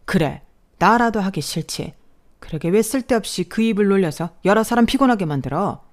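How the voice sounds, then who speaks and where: A woman voicing a gruff, exasperated older father-in-law who scolds bluntly and loudly. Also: medium pitch, expressive, moderate pace, pitched above the performer's own voice, close to an everyday voice. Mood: angry scolding.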